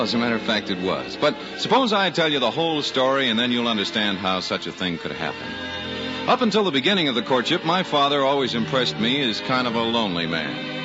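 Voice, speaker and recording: An actor as a grown son telling the story of his boyhood, an American man with very animated intonation. He is wry and reflective, in the manner of a 1940s radio play. The old recording is dull, with music behind. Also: mid-range voice, lightly acted. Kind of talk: 1940s radio drama narration.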